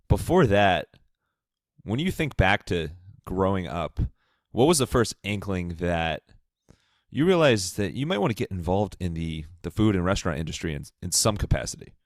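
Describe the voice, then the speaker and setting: American guy hosting a podcast, deep voice, upbeat casual interview style, really dry sound. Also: lively intonation, moderate pace, no echo. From Ohio, United States. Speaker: podcast host.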